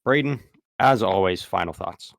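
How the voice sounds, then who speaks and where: A man co-hosting a casual sports podcast, talking haltingly with lots of ums while fighting a sore throat. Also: sits low, animated, vocal fry, dry recording, studio quality. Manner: halting, many ums and restarts. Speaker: podcast co-host.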